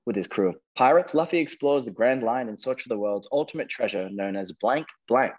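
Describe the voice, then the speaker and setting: A man's voice: medium pitch, expressive, brisk, lo-fi sound. A man hosting a quiz, reading questions and ruling on answers. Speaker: quizmaster, host.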